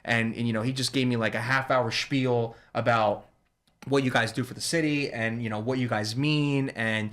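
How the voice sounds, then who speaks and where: A man from New Jersey, a shop owner, telling a story in a casual chat and acting out the people he quotes. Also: medium pitch. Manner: fast, animated retelling with quoted dialogue. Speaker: guest, ice cream shop owner.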